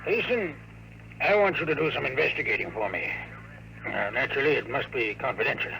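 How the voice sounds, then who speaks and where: An American actor playing a weary, ailing old mayor in a 1950s radio drama, with a hoarse, hushed, confiding voice. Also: male voice, medium pitch, lively intonation, fairly quick. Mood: grave, confiding. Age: older. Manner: confiding, grave, throat-clearing. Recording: faintly noisy vintage radio recording.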